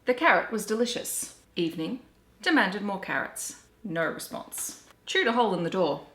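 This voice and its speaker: An Australian woman reading children's storybooks aloud in an animated, deliberate storytelling way. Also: medium pitch. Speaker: children's story reader and book vlogger. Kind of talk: expressive storybook read-aloud. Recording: roomy, echoey home recording.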